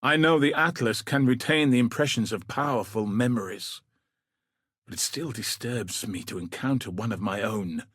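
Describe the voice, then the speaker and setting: Gruff game commander, husky mid-pitched voice, grave and dry, clipped military delivery, close dry sound. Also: male voice, moderate pace, fairly bright tone, no room sound. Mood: grave, resolute. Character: gruff, dutiful male military commander sending a warrior into danger. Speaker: video game character, quest giver. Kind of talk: scripted video game voice acting.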